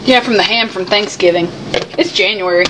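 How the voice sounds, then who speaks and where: A woman's voice: mid-range voice, normal speed, noisy background. A woman chatting casually and bluntly while cooking in a home kitchen, in character as a cosplayer.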